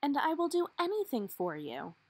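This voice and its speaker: A woman's voice: high-pitched, huge pitch swings, normal speed, no room sound, voice pushed up, heavily acted. A woman voicing a proud, lovesick long male dachshund in a children's storybook, earnest and eager to please.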